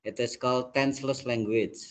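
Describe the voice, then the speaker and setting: A man from Indonesia with an Indonesian accent, a native Indonesian speaker, lecturing a class on linguistics in a halting way, often saying "let's say, like". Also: mid-range voice, steady tone.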